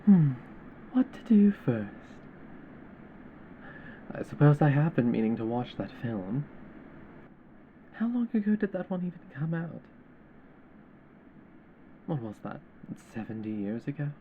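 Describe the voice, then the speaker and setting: A man's voice: fairly high pitch, sing-song, measured pace, long pauses, phone-quality sound, a little hiss or hum. A man playing a possessive, mocking yandere captor in an ASMR roleplay, with a drawling, theatrical, condescending manner. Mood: musing, idle. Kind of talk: scripted ASMR roleplay audio drama.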